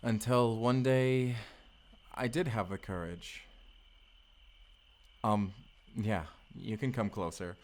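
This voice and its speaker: A man's voice: on the low side, steady tone, takes it slowly, long pauses, darkish tone. A man playing a tender, boyish husband from the future in an intimate, bashful, rambling romantic audio roleplay. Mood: tender, nostalgic. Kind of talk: romantic audio roleplay acting, addressed to listener.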